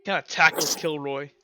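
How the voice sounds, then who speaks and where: A man's voice: a little high, steady tone, a little slow, noisy background. A young man narrating as game master in a tabletop game, loose and full of fillers.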